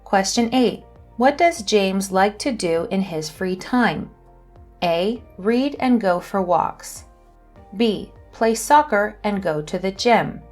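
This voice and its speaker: Slow, careful woman teacher. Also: mid-range voice, expressive, a little mellow, faint music behind. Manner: slow, careful reading of questions and answers. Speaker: English teacher and channel host. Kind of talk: scripted lesson narration.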